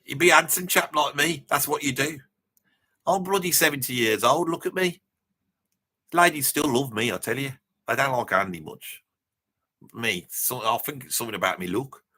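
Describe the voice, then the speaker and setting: A brash, opinionated Brummie ladies' man in his seventies, as a man puts him on, holds forth on a livestream. His voice is very sharp and forceful at a middling pitch. He rants bluntly and matily, with mild swearing and tag questions. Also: breathy edge. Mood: boastful, dismissive. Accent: Birmingham. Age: 70s. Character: brash, opinionated seventy-year-old Birmingham bloke, self-styled ladies' man. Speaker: livestream host. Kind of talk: casual livestream chat and commentary, answering viewer comments.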